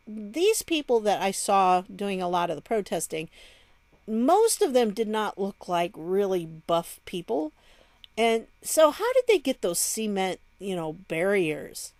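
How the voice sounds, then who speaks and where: Female vlog host, mid-pitched, conversational and wry, casual commentary on current events, recorded dry and close. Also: darkish tone, dry recording. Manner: conversational, sardonic, rhetorical questions. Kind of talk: casual news commentary, reading statements aloud.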